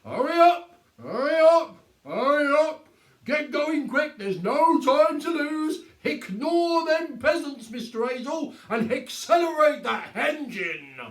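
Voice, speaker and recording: A man reading a storybook and voicing a sly, commanding village police sergeant with a booming, bossy delivery. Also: big pitch swings, slow pace, a bit bright, roomy sound, far from the mic, heavily acted.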